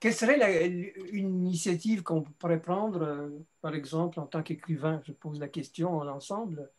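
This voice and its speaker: High-pitched male moderator, stumbling through questions to the panel. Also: fairly even intonation, normal speed. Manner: stumbling, repeats words while framing questions. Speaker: colloquium moderator.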